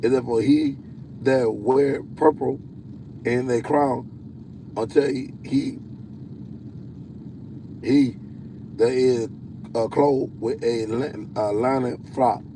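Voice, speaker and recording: A man with a deep voice preaching on a livestream. He reads scripture slowly, stumbling and repeating words. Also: fairly even intonation, warm tone, slight background noise, low-quality recording. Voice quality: self-described deep voice. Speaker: self-styled prophet hosting a livestream.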